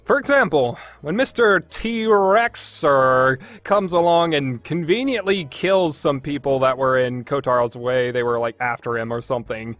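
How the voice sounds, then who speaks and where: A man's voice: medium pitch, measured pace, few pauses, fairly bright tone, no echo. A man giving a casual, opinionated review as a podcast host, with a sarcastic, exasperated manner.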